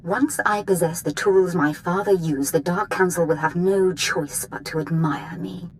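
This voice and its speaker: A menacing Sith noblewoman, played by an actress in a video game, with a low voice. She is imperious, formal and threatening, over faint background ambience. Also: unhurried, slight background noise, lightly acted, rough recording. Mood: haughty. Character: imperious, menacing female Sith lord, daughter of a dead tyrant.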